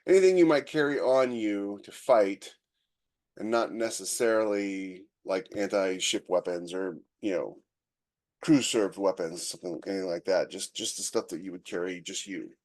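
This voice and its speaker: A man's voice: deep voice, normal speed, darkish tone. A man co-hosting a podcast in a casual, conversational way, freely giving his opinions. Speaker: podcast co-host.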